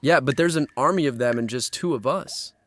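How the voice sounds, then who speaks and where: A man's voice: medium pitch, fairly quick, lightly acted. A man playing an anxious teenage boy lost in a nightmare world, in a horror audio drama. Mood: resolving. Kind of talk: horror audio drama acting and first-person narration.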